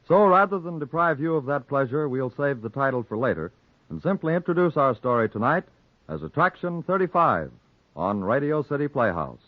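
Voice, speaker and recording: A man hosting a 1940s radio drama, introducing it in a formal, measured, scripted way. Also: mid-range voice, very animated. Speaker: radio host introducing the play. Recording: tinny, narrow-band 1940s radio recording.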